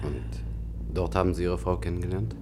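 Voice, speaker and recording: Radio drama, male actor as easygoing, deferential film man, fairly low voice, polite and coaxing, faint hum behind. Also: fairly even intonation, normal speed, lightly acted. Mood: curious, deferential. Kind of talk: German radio play acting. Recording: faint background hum and noise.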